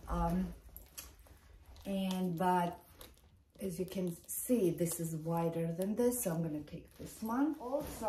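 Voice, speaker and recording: Middle aged lady vlogging, slow halting chat, sounds far from the mic in a room. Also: on the low side, mellow. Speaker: fashion vlogger. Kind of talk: casual vlog chat while showing items. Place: large carpeted bedroom indoors. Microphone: camera a few feet away. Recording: roomy echo, distant mic, light noise.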